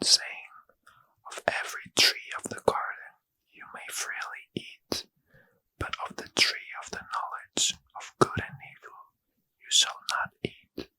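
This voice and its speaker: A man reading scripture as a bedtime ASMR story in a breathy, hushed, careful whisper, close to the mic. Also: no echo, rough recording. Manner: slow, careful, hushed delivery. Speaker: ASMR reader.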